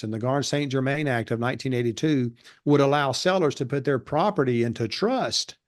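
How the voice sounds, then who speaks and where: Male guest, monotone voice, mid pitch, steady explainer. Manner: steady explanatory delivery with fillers. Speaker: guest, real estate investor and educator. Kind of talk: casual interview talk.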